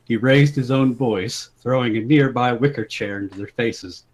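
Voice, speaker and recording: A man's voice: mid-range voice, normal speed, rough recording. A man giving a dramatic, mock-serious reading of an absurd comic story, often amused by it. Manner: amused, breaking into giggles.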